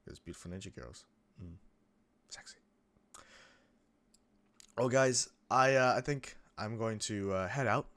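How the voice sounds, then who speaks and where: A young man hosting a gaming channel, chatting casually over gameplay in a rambling way with lots of restarts, his voice a bit croaky from coming down with a cold. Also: lowish voice, measured pace, pauses often, fairly bright tone. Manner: rambling, many restarts and fillers. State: getting sick, a bit croaky.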